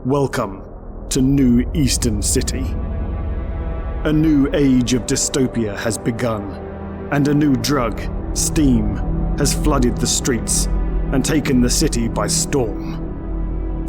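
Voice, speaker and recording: A man giving scripted, dramatic narration for an audio story in a measured way. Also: medium pitch. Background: music and sound effects.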